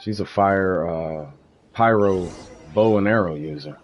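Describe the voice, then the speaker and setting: Low-voiced man casually streaming a game. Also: measured pace, muffled recording. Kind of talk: casual live gameplay commentary. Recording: some background noise. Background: faint noise and ambience.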